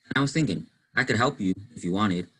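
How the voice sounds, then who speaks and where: A young man in an amateur stage play, acting a kind, helpful high school classmate in an understated way. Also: lively intonation, fast, pushed and bright, breathy edge, exaggerated voice, low-quality recording. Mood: kindly. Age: young adult.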